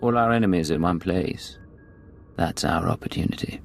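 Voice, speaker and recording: An actor playing a cool, self-assured secret agent in a video game, clipped and composed. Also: male voice, low-pitched, normal speed, vocal fry, faint music behind. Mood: resolute.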